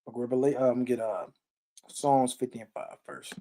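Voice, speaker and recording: A man's voice: mid-range voice, level tone, quick pace, dark tone, slight background noise. A man giving an informal, verse-by-verse Bible teaching, emphatic and blunt, often checking in with short questions and tags. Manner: blunt, salty language. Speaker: Bible teacher on a live stream. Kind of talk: informal sermon, verse-by-verse read-along commentary.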